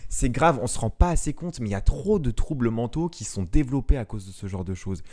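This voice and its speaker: A man's voice: medium pitch, warm tone. A man in his early twenties hosting a solo podcast, talking earnestly and with passion, with frequent restarts and fillers. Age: young adult. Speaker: podcast host.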